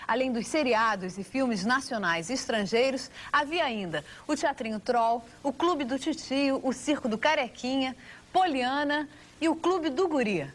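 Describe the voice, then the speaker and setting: A woman with a Brazilian accent reading scripted documentary narration. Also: sits high.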